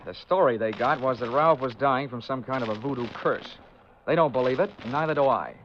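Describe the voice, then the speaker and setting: A man plays a cool private investigator with a medium-pitched voice and deadpan, wisecracking delivery. It is old radio detective acting, on a slightly dull vintage recording. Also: fairly quick, a little hiss or hum, close to an everyday voice. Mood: cool, sardonic. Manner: deadpan, sardonic, crisp wisecracks. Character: wry, hard-boiled insurance investigator, cool male wisecracker.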